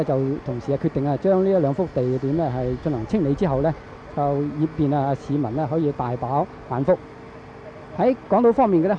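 Male radio reporter, Hong Kong accent, slightly high mellow voice, halting live commentary with drawn-out fillers. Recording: dull, noisy archival radio broadcast.

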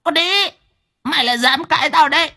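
A man's voice: sits high, wildly swooping pitch, normal speed, fairly bright tone, slightly rough, voice pushed up, full character voice. A man voicing a ravenous, possessed old grandmother who screeches curses and snarling threats at her family. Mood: furious.

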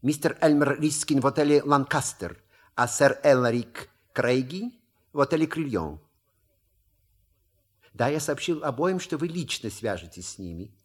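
An actor playing an urbane, deferential manservant in an audio play, formal and courteous, with a husky voice. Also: male voice, mid-range voice, expressive, unhurried. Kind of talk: stage comedy audio play acting.

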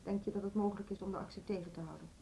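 A woman's voice: medium pitch, steady tone, very fast, gravelly, warm tone, natural voice, rough recording. Actress as earnest woman running a music school, under pressure, well spoken, radio play, bit of noise. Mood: anxious. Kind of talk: Dutch radio play acting.

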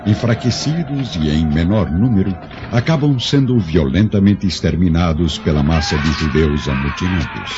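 A man's voice: low voice, animated, moderate pace, noisy background. A man narrating a radio drama as a solemn storyteller, with dramatic scripted delivery. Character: solemn storyteller of an ancient epic. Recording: dull lo-fi radio drama, music behind.

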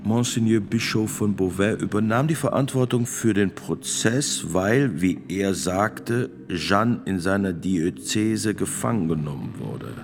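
An actor playing a grave priest and notary of sixty-six, testifying in a radio drama with gravelly, deliberate, formal speech. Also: male voice, lowish voice, animated, a little hiss or hum. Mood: guarded, grave. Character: sixty-six-year-old male priest and court notary, grave witness.

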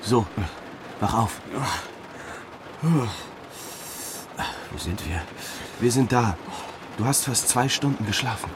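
A man's voice: on the low side, animated, moderate pace, heavy background noise, close to an everyday voice. An actor playing an exasperated, sceptical male friend in a radio drama, blunt and sardonic. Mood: weary, blunt.

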